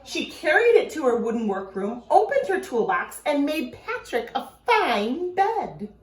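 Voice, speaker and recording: A woman's voice: high-pitched, very animated, measured pace. A woman elementary school teacher talking informally to her pupils and reading aloud, addressing the children directly. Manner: addresses children directly, asks them questions. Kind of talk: informal video message and read-aloud for pupils. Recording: echoey room.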